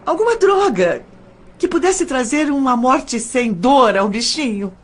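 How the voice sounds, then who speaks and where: An actress playing a troubled young woman in an audio drama, speaking politely and haltingly as she persuades. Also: sits high, very animated, heavily acted. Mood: troubled, pleading. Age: young adult.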